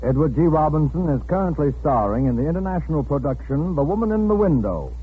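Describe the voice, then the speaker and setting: A man's voice: on the high side, lively intonation, quick pace, husky. An American man introducing a suspense drama as host, in scripted 1940s radio style. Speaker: suspense show host and narrator. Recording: tinny 1940s radio, loud music behind.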